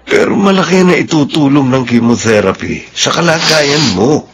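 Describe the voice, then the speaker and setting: A man's voice: medium pitch, lively intonation, moderate pace, background noise, natural voice. A man playing a troubled, pleading husband and father in a radio drama, speaking earnestly. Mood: pleading, distressed.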